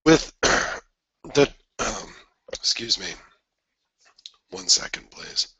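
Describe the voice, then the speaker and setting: A man with a stuffy head cold and a raspy voice, hosting a trading webinar in a rambling, casual way with many restarts. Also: fairly low pitch, expressive, very slow, bright, forceful tone, no echo. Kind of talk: casual market analysis talk.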